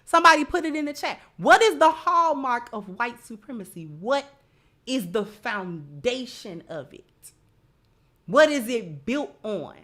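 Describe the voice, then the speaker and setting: Slow-paced, higher-pitched woman commentator. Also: very animated, fairly bright tone. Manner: emphatic, repeats phrases for effect, rhetorical questions. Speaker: livestream host. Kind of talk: opinionated commentary to a live chat audience.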